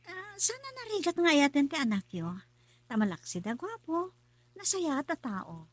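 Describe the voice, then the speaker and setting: A woman's voice: high voice, sing-song, normal speed, no room sound. A woman acting a respectful, heartbroken young student in a radio drama. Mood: shy, reluctant. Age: young adult.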